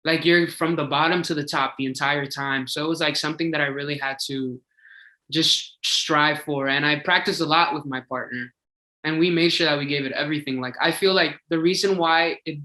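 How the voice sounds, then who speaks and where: Chatty young man with a bright voice. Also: a little high, steady tone, fairly quick. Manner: chatty, eager, many "like" fillers. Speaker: singing contestant. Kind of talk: casual interview answers. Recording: echoey room.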